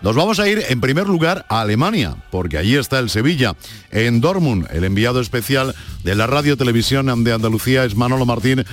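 A man hosting a live radio sports program, handing over to a reporter with lively presenter delivery. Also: medium pitch, a little fast, faint music behind. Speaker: radio sports show host. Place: radio studio.

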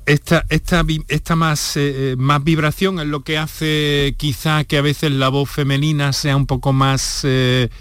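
A man's voice: fairly high pitch, little pitch movement, a little slow, few pauses, fairly bright tone, clean tone, dry recording. Spanish male radio host, close dry sound. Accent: Spanish (Spain). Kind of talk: conversational radio hosting.

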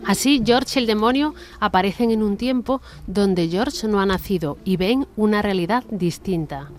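A woman's voice: medium pitch, normal speed, music in the background. A woman reading scripted narration for a radio play.

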